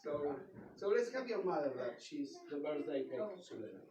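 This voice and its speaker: A man with a non-native English accent leading a synagogue service, joking with the congregation in a halting, playful way. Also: fairly high pitch, very animated, background noise. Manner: halting, playful, self-interrupting, sings and chants. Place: synagogue sanctuary. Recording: roomy, distant mic, crowd audible.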